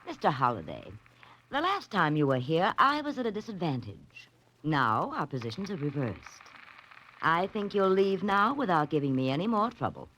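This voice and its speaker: A woman's voice: on the low side, big pitch swings, normal speed, a bit bright. A woman playing a guarded, desperate woman in her thirties in a 1940s radio melodrama, with a dramatic delivery. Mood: defiant. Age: 30s. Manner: dramatic, haughty turning to pleading. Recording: faintly noisy vintage 1940s radio recording.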